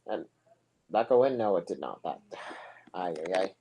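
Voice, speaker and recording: Young male soccer play-by-play voice: low, monotone, mellow and husky, relaxed and rambling. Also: moderate pace, low-quality recording. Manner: relaxed, rambling, chatty asides between plays. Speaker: soccer commentator. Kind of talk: casual live play-by-play commentary.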